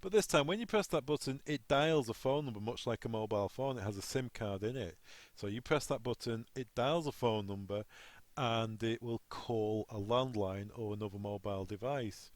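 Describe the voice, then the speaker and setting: A man's voice: mid-range voice, moderate pace, no room sound. A man working as a technical trainer, explaining equipment step by step in an instructional walkthrough.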